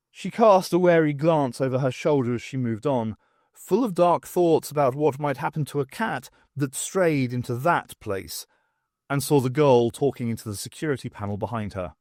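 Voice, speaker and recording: A man reading fiction as an audiobook narrator, with expressive scripted delivery. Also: medium pitch, no echo, clean studio sound.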